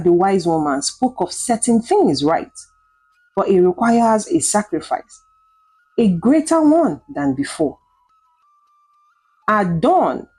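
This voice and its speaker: Casting: female folktale narrator, dramatic scripted read, widely moving pitch, relaxed dark tone. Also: breathy edge, poor audio. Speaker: storyteller.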